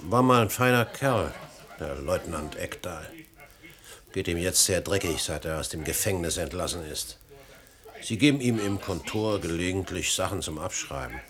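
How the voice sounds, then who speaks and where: Lowish-voiced, officious manservant. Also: male voice, expressive, fairly bright tone. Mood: gossiping. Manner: brisk, officious. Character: fussy, gossipy manservant in a wealthy household. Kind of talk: German radio play acting. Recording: busy crowd murmur behind.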